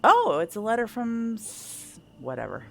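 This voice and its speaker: A woman's voice: a little high, huge pitch swings, measured pace, gravelly. A woman doing casual playthrough commentary, thinking aloud and asking herself questions as she goes. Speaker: gameplay host.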